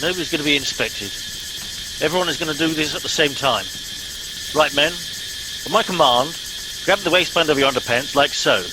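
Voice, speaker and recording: An actor playing a pompous, blustering middle-aged English starship captain in a comic radio play, giving clipped orders. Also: male voice, a little high, brisk, pauses often, noisy background, close to an everyday voice. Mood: commanding. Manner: blustering, officious, clipped commands.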